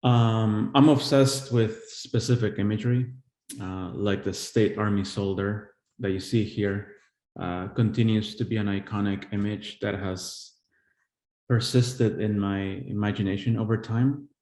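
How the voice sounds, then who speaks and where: A man in his forties from El Salvador, an artist giving a live-streamed talk on his work, who speaks haltingly with many pauses and restarts. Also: lowish voice, fairly even intonation, a little mellow. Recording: echoey room.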